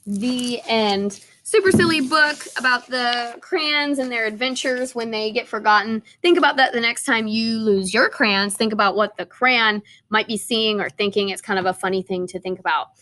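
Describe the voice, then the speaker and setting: A woman teacher reading a picture book aloud in an animated storytelling way. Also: on the high side, moderate pace, few pauses.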